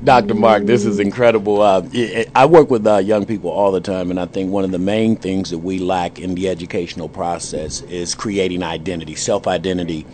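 A man's voice: low voice, steady tone, a little fast, hardly any pauses, darkish tone. A man who works with young people, asking long winding questions in a group discussion. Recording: background noise.

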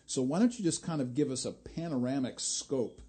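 Low husky-voiced radio host. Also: male voice, normal speed. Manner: steady listener, frequent murmured affirmations. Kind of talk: radio interview.

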